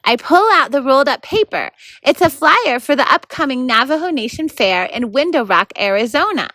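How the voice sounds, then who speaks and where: Female storybook reader, bright and animated, very varied pitch. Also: normal speed, dry recording, poor audio. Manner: animated, acts out the narration. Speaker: volunteer reader of a children's novel. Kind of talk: expressive storybook read-aloud.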